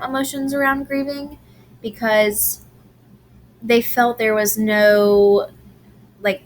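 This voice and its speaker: Woman giving a talk real slow, lots of ums, voice kinda strained from allergies, faint hiss in the background. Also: mid-range voice, poor audio. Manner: unhurried, many "um"s and restarts. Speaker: presenter on grief and incarceration. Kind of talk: recorded lecture presentation.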